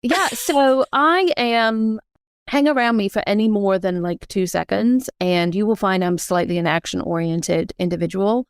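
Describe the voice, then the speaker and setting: A woman's voice: medium pitch, normal speed, smooth, dry recording, studio quality. A woman speaking as a podcast guest and leadership advisor, explaining informally with analogies and acting out questions and thoughts.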